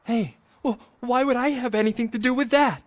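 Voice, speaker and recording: A man's voice: very high voice, steady tone, fairly quick. A man playing a scared, loyal teenage petty thief in a video game, anxious and pleading. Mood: panicked, pleading.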